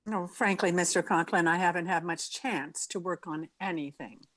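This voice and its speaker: An actress playing a dry, wisecracking schoolteacher in an old-time radio comedy, with a deadpan manner. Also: female voice, on the low side, moderate pace, dry recording, lightly acted. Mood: defensive. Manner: deadpan, wry.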